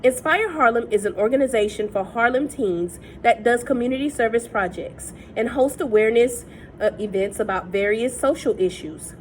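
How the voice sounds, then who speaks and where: A woman reading a novel aloud in a steady, measured first-person voice. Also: on the high side, a little hiss or hum. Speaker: reader.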